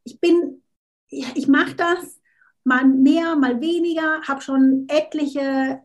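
Give me a woman guest on an interview show, talking casually with a fairly high voice and frequent restarts. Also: fairly even intonation, slow pace. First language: not German, unsure of her German. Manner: chatty, restarts words, mixes in English phrases.

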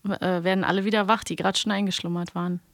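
A woman's voice: lowish voice, fairly even intonation, fast, a little hiss or hum. A woman co-hosting a podcast in cheerful, teasing casual chat. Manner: cheerful, teasing, laughs often. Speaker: podcast co-host.

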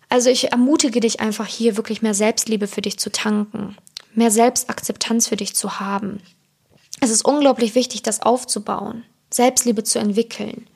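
A woman's voice: fairly high pitch, vocal fry, a little mellow, breathy edge. A woman who is not a native German speaker, hosting an informal motivational podcast as a coach, chatting directly and personally to the listener. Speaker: podcast host and self-love coach.

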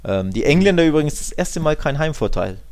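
A man's voice: a little high, big pitch swings, brisk, darkish tone. A man co-hosting a football podcast, chatting casually in a colloquial, emphatic and opinionated way. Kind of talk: casual football chat and commentary.

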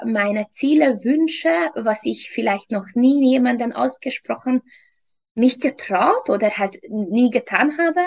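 Slow, high-voiced Hungarian-accented woman, dull sound. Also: creaky. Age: early 30s. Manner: halting, searching for words in non-native German. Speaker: interview guest, fitness trainer. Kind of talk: personal account in an interview.

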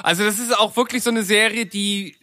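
A man's voice: high-pitched, steady tone, fairly quick, pushed and bright, no echo, studio quality. A man co-hosting a podcast, talking in a casual conversational style. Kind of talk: casual chat.

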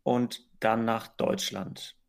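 A man hosting a casual podcast, asking questions in a relaxed, slangy way. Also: medium pitch, slow pace, creaky, clean tone. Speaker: podcast host.

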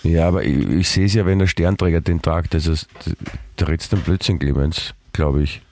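A man with an Austrian German accent co-hosting a podcast in playful, rambling banter, his voice hoarse and full of halting restarts. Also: rumbling low, a little mellow, a little hiss or hum. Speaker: podcast co-host. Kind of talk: casual banter.

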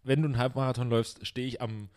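A man's voice: mid-range voice, fairly even intonation, quick pace, a little mellow. A young man co-hosting a comedy podcast, rambling and teasing with lots of fillers. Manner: rambling, joking, many fillers. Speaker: podcast co-host. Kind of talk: casual chat.

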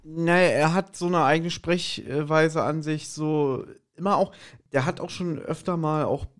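A man's voice: a little high, fairly even intonation, a little slow. A man chatting casually as a podcast co-host, recapping a story and often breaking into amused asides. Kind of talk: casual chat recapping and reviewing an audio drama.

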